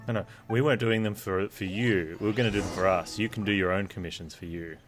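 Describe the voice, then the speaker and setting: A man's voice: deep voice, steady tone, fast. A man livestreaming a video game with casual, wry, teasing commentary. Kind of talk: casual live commentary over gameplay. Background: faint game music.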